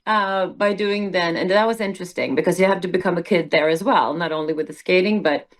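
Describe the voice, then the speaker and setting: A woman's voice: fairly low pitch, brisk. Swedish woman, reflective and hesitant. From Sweden. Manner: reflective, hesitant with many fillers. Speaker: guest, musical theater performer. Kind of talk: casual interview conversation.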